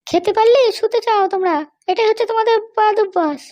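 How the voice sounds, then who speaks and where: Squeaky, bossy cartoon madam. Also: female voice, fast, darkish tone. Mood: threatening, bossy. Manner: bossy, scolding. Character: arrogant, threatening jailed madam demanding luxuries and release. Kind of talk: amateur cartoon comedy dubbing.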